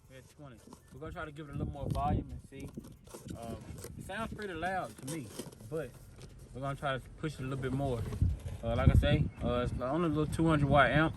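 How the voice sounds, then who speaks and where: A man's voice: medium pitch, quick pace. A man hosting a product video, talking casually and informally to his viewers in a laid-back way. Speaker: host of car audio product video. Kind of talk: casual product demo talk. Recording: clear background noise.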